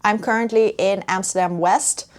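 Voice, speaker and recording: A woman's voice: mid-range voice, steady tone, normal speed. A young woman from the Netherlands talking casually and easily to camera for a travel vlog, indoors by a window. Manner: chatty, easygoing, laughs often. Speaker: travel vlogger.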